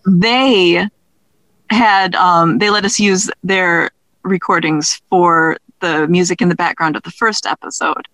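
Woman guest in a casual interview, halting thinking-out-loud talk, very dry audio. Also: on the low side, steady tone, dry recording. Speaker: guest, audio drama creator.

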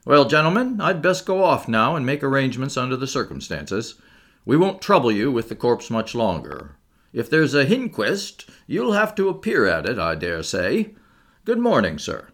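Big burly keeper for audiobook, male narrator acting, callous good cheer, working-class English, bouncy pitch. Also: big pitch swings, normal speed, a bit bright. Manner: blunt, colloquial.

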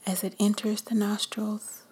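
Woman meditation guide, breathy whisper, very slow and calm. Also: mid-range voice, low-quality recording.

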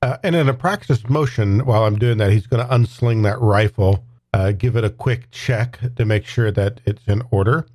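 A man's voice: lowish voice, normal speed, a little mellow, no echo. A man playing a tabletop game, casually and thoughtfully explaining what his character does. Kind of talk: casual in-game description and chat.

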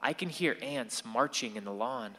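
A man's voice: medium pitch, animated, moderate pace, sharp tone. An actor playing an angst-ridden sixteen-year-old boy who narrates a radio play in a reflective way. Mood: wistful, reflective. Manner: reflective, storytelling to the listener. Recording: reverberant hall.

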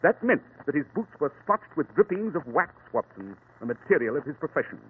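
An actor playing a confident male detective who explains his deductions crisply, in 1940s radio acting. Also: on the high side, big pitch swings, a little fast. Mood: explaining. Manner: crisp, deductive, self-assured. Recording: tinny old radio broadcast.

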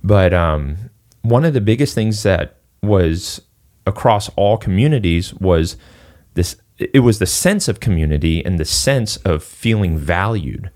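Deep low guy from singapore, fitness coach on a podcast, rambly, lots of "you know". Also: expressive, measured pace, darkish tone. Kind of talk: casual podcast conversation.

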